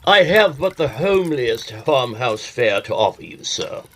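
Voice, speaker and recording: Husky, imperious reclusive scholar played by a man. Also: mid-range voice, big pitch swings, a little slow, fairly bright tone. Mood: stiffly courteous. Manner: formal, imperious, stiffly courteous. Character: stern, reclusive scholarly master of a lonely house. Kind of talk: period audio drama acting.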